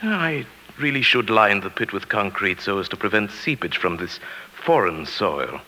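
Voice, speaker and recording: Meek henpecked professor, animated low voice. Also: male voice, moderate pace, poor audio. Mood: meek, evasive. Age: middle-aged. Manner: hesitant, mild, deferential. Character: meek henpecked bearded English professor, quietly seething. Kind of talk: 1940s radio drama acting. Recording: old 1940s radio broadcast with music.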